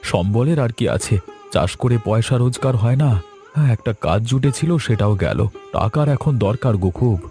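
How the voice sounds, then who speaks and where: A man voices a careworn village elder brother in a cartoon folk drama. His voice swings widely in pitch as he coaxes earnestly and caringly, with faint music behind. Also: expressive, moderate pace, warm tone, natural voice. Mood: weary, concerned. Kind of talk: Bengali cartoon drama acting.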